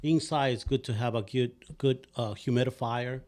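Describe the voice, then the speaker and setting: A man's voice: mid-range voice, steady tone, moderate pace, a little mellow. A man with an Ecuadorian Spanish accent, a home inspector chatting casually on a podcast, earnest and rambling with many restarts.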